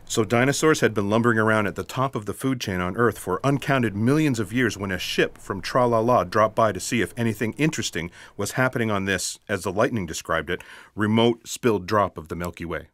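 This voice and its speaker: An actor playing an earnest, unflappable eccentric man in a sci-fi audio drama, telling his tale in a deadpan, matter-of-fact way. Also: on the low side, brisk, no room sound, close to an everyday voice. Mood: storytelling.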